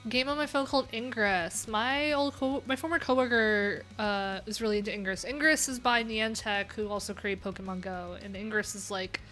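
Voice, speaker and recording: A woman hosts a relaxed livestream, reading a list of viewer answers and breaking off into rambling, chatty asides full of fillers. Her pitch sits in the ordinary middle range for a woman. Quiet pop punk plays faintly behind her. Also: moderate pace, hardly any pauses. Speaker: livestream host. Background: pop punk music playing.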